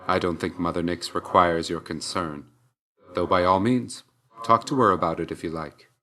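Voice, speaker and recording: An actor playing a stern, reserved young god of death in a video game, speaking in a measured, aloof, dry way. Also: male voice, low-pitched, fairly bright tone. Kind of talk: scripted game character dialogue.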